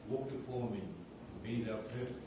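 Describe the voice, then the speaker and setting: A man in a Bible class reading a scripture passage aloud in a steady, formal way. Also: fairly low pitch, normal speed, breathy edge, poor audio.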